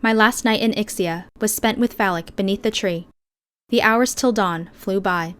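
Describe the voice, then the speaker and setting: A woman with a medium-pitched voice reads first-person fiction as an audiobook narrator. Her storytelling is measured and expressive. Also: clean tone.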